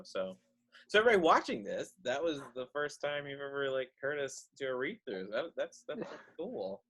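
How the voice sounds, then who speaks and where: Male host, mid-pitched voice, casual conversational delivery to fellow cast and viewers. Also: fairly bright tone, a little hiss or hum, rough recording. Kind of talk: casual chat.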